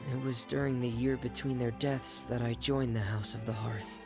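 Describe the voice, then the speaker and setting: Man as reserved, creaky-voiced adolescent boy. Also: mid-range voice, steady tone, normal speed, lightly acted. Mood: somber. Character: reserved, soft-spoken adolescent boy orphan, earnest and somber. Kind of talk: anime video game voice acting. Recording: game music behind the voice.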